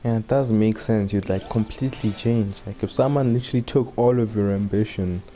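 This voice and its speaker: A man's voice: lowish voice, brisk, warm tone. A man giving casual commentary on a game playthrough, musing in a loose, informal way. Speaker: gaming video host.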